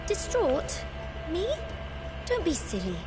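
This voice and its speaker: A woman's voice: very high voice, wildly swooping pitch, slow pace, exaggerated voice, low-quality recording. An actress playing a sweet, anxious young woman in a game cutscene, flustered and earnest. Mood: worried. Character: small, sweet, anxious young female friend left behind. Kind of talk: video game cutscene acting. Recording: music behind the voice.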